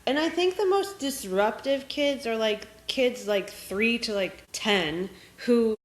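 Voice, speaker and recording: A woman's voice: a little high, lively intonation, slow, roomy sound, poor audio. A woman in her thirties co-hosting a podcast, chatting informally and reading comments aloud with wry, self-deprecating asides. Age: 30s. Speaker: podcast co-host. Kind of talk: casual chat with dramatic reading of online comments.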